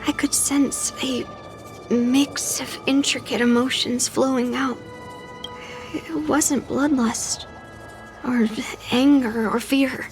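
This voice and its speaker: A young female sword-hunter in a fantasy game. Her voice is high and earnest. She speaks slowly and reflectively over music. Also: fairly even intonation. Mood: reflective, tender. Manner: earnest, thoughtful, measured. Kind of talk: dramatic game dubbing. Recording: music under the voice.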